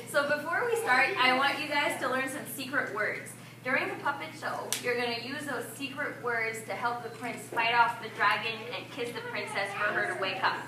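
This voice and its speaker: A high-pitched woman narrates a children's puppet show in an instructive way. She sounds distant in a very echoey room. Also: moderate pace, crowd noise. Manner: instructive, prompts listeners with questions. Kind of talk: storytelling to young children. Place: public library event room. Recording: echoey room from far off, noisy.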